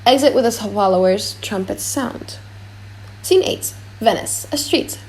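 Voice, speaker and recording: A woman's voice: mid-range voice, unhurried, a little mellow, a little hiss or hum, poor audio. A woman reading scripted stage narration for an audiobook play.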